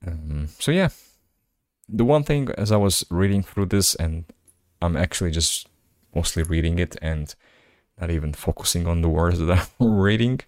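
Male podcast host, Slovak native speaker, deep voice, dry close sound, reads aloud with casual asides. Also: lively intonation, moderate pace, a little mellow, no room sound. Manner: casual, musing asides between read passages. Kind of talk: reading articles aloud with commentary.

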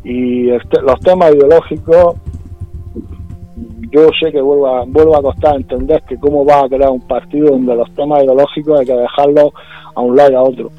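A man's voice: mid-range voice, steady tone, moderate pace. A man with a Murcian Spanish accent speaking as a regional politician in a radio interview, insistent and often restarting and repeating his points. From Puerto Lumbreras, Murcia region, Spain. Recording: dull lo-fi radio with music behind.

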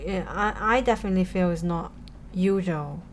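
Woman, co-host, lowish voice, playful, asks a lot of questions, faint ambience. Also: normal speed, slight background noise. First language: Chinese. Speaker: podcast co-host. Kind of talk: casual chat.

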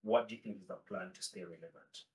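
A man with a low voice hosts a podcast, sitting back from the mic. He asks his questions loosely and hesitantly, with plenty of fillers. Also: fairly even intonation, fast, darkish tone. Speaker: podcast host. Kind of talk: casual interview. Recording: distant from the mic.

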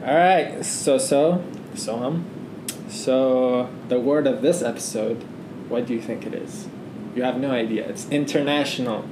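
A man hosting a podcast in an easygoing, casual way, agreeing often and keeping things light. His voice sounds roomy and echoey. A clear hum of background noise runs under it. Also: mid-range voice, unhurried. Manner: laid-back, laughs easily, many "yeah"s. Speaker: podcast host. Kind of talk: casual conversation.

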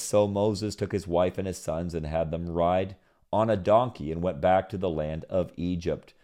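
A man from Senegal preaching a sermon, with a notably low, deep voice. He reads scripture aloud, repeats key phrases, and climbs to loud, emphatic peaks before dropping back down.

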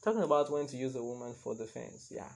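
Gravelly, chatty male podcast host. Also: medium pitch, quick pace, darkish tone. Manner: rambling and chatty, laughs at his own comments. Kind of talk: casual solo podcast monologue.

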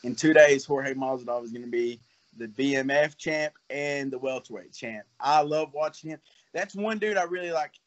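A man's voice: medium pitch, normal speed, highs cut off. Young man talking loosely about fighting. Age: 20s. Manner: loose, rambling, laughs between thoughts. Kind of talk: casual interview talk.

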